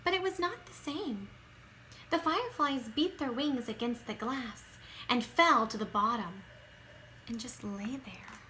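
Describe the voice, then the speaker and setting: Bright, high-voiced woman reading a children's story. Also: slight background noise, rough recording. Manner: dramatic, drawn-out emphasis with hushed drops and bursts. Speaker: teacher reading a picture book aloud. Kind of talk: expressive children's read-aloud narration.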